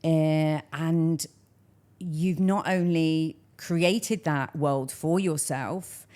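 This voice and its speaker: A woman's voice: low-pitched, steady tone, extremely slow, dark tone. A woman hosting a conversational interview, speaking in an earnest, emphatic way. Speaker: interview host.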